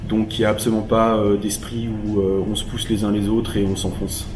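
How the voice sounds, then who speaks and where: Student, male, 19, earnest interview answers, deeper voice, echoey room. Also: monotone, brisk, background noise. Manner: earnest, with frequent "euh" fillers. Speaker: prep school student. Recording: roomy sound from a distant mic.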